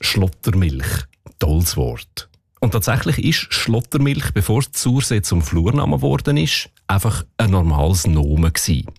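A man with a Swiss German accent presenting a scripted radio feature on word origins, in a measured, explanatory way. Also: low-pitched, very animated, vocal fry, a little mellow, slightly rough, no room sound, studio quality. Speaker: dialect expert on radio.

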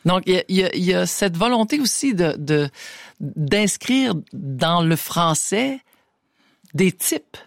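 Earnest Québécois man, fairly high voice. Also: animated, unhurried, gravelly, no echo, studio quality. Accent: Québécois French. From Quebec. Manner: earnest, repeats words while searching for them. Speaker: guest, preface writer. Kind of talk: conversational interview.

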